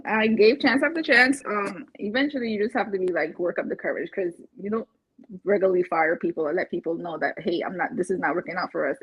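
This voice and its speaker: A woman from Barbados with a husky voice, talking casually and chattily, as in a testimonial interview. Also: on the low side, no echo. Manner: chatty, laughs often while talking. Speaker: interviewed client, first-time home buyer. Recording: dull, muffled lo-fi audio.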